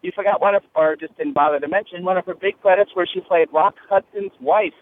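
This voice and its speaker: A man's voice: high voice, fairly quick. A man calling in by phone from his car, leaving a chatty, enthusiastic fan message. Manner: gushing, enthusiastic. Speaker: caller leaving a listener voicemail. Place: in a car, driving. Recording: narrow phone line, faint noise.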